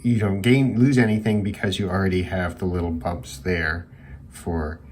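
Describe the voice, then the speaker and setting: A man giving an informal, thoughtful product review in a measured way with hesitant pauses. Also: sits low, fairly even intonation, a little mellow. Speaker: radio hobbyist reviewer. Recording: clearly noisy background hiss.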